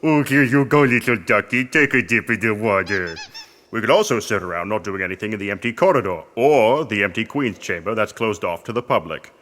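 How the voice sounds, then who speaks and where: A man's voice: lowish voice, animated, fairly quick, a bit bright. A man acting a pompous, lazy, grumbling archaeologist with a fussy manner in a comic audio drama. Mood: exasperated. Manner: fussy, self-important.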